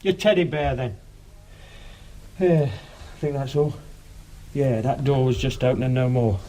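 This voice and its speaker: A man's voice: mid-range voice, unhurried, background noise, close to an everyday voice. A man acting in a radio drama as a cautious, matter-of-fact burglar for hire, giving terse, bossy instructions in colloquial speech. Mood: businesslike, calm.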